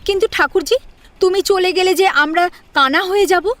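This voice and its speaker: A woman's voice: very high-pitched, normal speed, a bit bright, voice pushed up. A woman playing an affectionate, outspoken married sister-in-law in an audio story, assertive and pleading by turns. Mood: admiring, pleading. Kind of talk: Bengali audio story acting.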